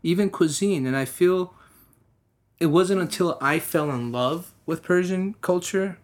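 A man's voice: high-pitched, a little slow. A young man from the United States, a guest musician, speaking in a casual interview with a thoughtful manner and frequent restarts.

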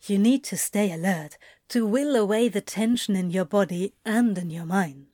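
Woman playing a mellow male demon instructor. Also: medium pitch, normal speed, no room sound, studio quality, close to an everyday voice. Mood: patient, coaxing. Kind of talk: audio fan fiction character acting.

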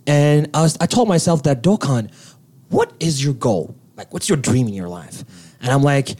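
A young man from Turkey with a Turkish accent, a guest in a casual interview, talking in an excitable, animated way with a little nervousness and frequent laughs. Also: mid-range voice, moderate pace, husky.

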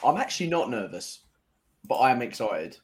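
A man's voice: mid-range voice, big pitch swings, brisk. A man co-hosting a football podcast, talking informally as a fan in casual conversation. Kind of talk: casual chat among fans.

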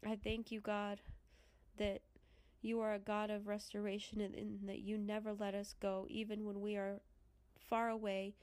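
A woman hosting a church podcast, her voice stuffy and congested from a cold. She speaks in an earnest, prayerful way with a gravelly rasp and a flat, steady delivery. It sounds dry and close, with no room echo. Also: medium pitch. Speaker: podcast host. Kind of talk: closing remarks and spoken prayer.

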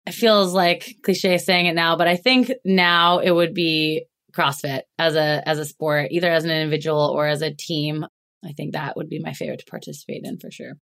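A woman with a slightly low-pitched voice chats as a podcast guest. She rambles along easily, scattering "like"s through her sentences. She grew up in Alaska and speaks in a relaxed, conversational way. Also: moderate pace. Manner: chatty and rambling, many "like"s. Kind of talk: casual interview chat.

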